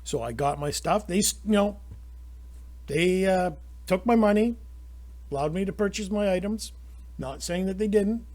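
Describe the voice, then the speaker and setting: A man's voice: high voice, moderate pace, long pauses, darkish tone. A middle-aged man with a Canadian accent, talking through his opinions in a rambling, indignant monologue full of restarts. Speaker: channel host.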